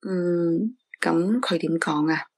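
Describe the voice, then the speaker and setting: Gravelly hk mom, played by a woman, pleading and emotional, radio play. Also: fairly low pitch, takes it slowly, darkish tone, full character voice. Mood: worried. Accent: Hong Kong Cantonese. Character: anxious single mother, remorseful, doting. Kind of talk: radio drama acting.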